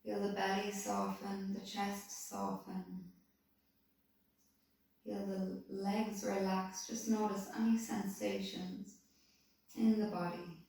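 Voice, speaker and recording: A woman calmly leading a guided body-scan meditation, giving soothing, unhurried instructions. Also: medium pitch, creaky. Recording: very echoey room, voice distant from mic.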